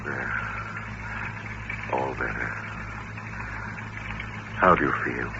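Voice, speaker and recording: An actor playing a bitter, lonely mutant with hypnotic powers in an old-time radio drama, intense and commanding. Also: male voice, very deep voice, very slow, lo-fi sound, full character voice. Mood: resigned, commanding. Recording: noisy, band-limited vintage radio recording.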